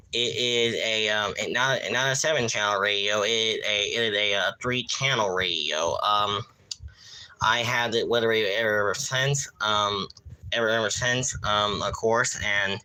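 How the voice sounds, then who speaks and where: A man casually presenting on a live stream in a halting, repetitive way, often losing his thread. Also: mid-range voice, sharp tone, clean tone, low-quality recording. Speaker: live streamer showing a radio collection.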